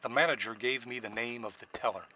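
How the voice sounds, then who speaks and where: A man's voice: mid-range voice, fast talker, sharp tone. Man acting as clipped noir private eye, noisy recording. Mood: cool, narrating. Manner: clipped, businesslike, unruffled. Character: cool, curt male private investigator, narrating his case. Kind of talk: 1940s noir radio drama acting and narration.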